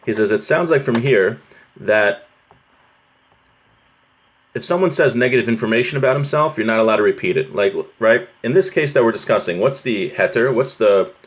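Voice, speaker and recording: A man's voice: mid-range voice, animated, moderate pace. A man teaching an informal religious class, reading from a text and explaining it conversationally, often checking in with his listeners.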